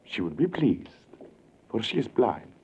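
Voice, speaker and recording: An actor playing a suave, flattering foreign stage mentalist with florid, courtly speech in a 1940s radio drama. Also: male voice, fairly low pitch, a little slow, husky, full character voice, rough recording. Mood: sly, smooth. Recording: vintage 1940s radio recording.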